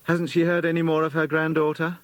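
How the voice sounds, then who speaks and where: Man voicing an eager, higher-pitched British schoolboy detective. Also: monotone, a little fast, dry recording, close to an everyday voice. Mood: concerned. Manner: keen, polite, old-fashioned British schoolboy talk. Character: eager, well-spoken schoolboy detective, polite and decisive. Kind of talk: children's audiobook character voice.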